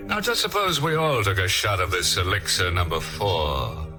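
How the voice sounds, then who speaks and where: A man's voice: sits low, wildly swooping pitch, moderate pace. A man hosting an old-time radio show in a theatrical, wisecracking, mock-macabre manner. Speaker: host. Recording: old radio broadcast, faint music.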